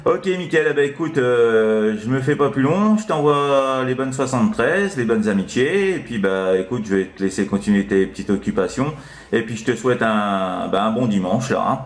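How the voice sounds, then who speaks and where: A man's voice: medium pitch, expressive, normal speed. A man having a casual CB radio chat as an operator, rambling and hesitant, repeating little filler tags. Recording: echoey room.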